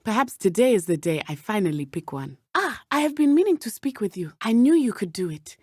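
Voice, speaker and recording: A young woman playing a brave, principled teenage witch student in a video game, with formal, measured phrasing. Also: medium pitch, big pitch swings, a little mellow, slightly rough, no echo, clean studio sound. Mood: warm, encouraging.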